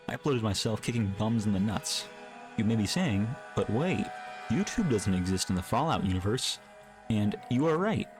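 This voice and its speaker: Male narrator for scripted creepypasta, fairly low register, deliberate measured read, soft music bed underneath. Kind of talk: scripted horror story narration. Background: background music.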